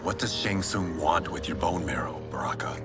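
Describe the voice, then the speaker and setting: A man's voice: low-pitched, animated, over loud music. An actor playing an earnest, level-headed swordsman, speaking seriously in a video game cutscene. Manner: measured, serious, sincere.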